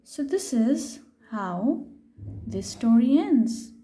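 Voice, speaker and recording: Woman, high-pitched voice, mellow tone, children's read-aloud storytelling, expressive, questioning young listeners. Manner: expressive, unhurried, pausing to ask questions. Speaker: storyteller reading a picture book aloud to children.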